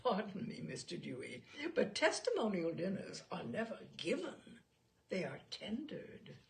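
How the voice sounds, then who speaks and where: A woman's voice: medium pitch, very animated, unhurried, gravelly, a little hiss or hum. A woman voicing a pompous, fussy male expert witness in a humorous story, precise, proud and declamatory. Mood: pedantic, proud. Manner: precise, self-satisfied, declamatory. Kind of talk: humorous short story read aloud.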